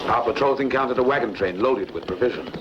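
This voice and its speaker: A man's voice: medium pitch, quick pace, lightly acted. A man playing a Roman officer giving urgent, clipped military reports in a dubbed epic film. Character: brisk male Roman officer reporting to his general. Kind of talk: dubbed sword-and-sandal film dialogue. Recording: muffled film audio, clear outdoor noise.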